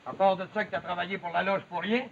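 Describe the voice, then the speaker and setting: A man's voice: high voice, fairly even intonation, fast, vocal fry. A male actor playing a proud, quarrelsome lumberjack in a 1950s television drama, with a Quebec French accent and blunt, accusing rural speech. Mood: accusing, angry. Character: Big William, proud, resentful, quarrelsome lumberjack. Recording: muffled, noisy old television soundtrack.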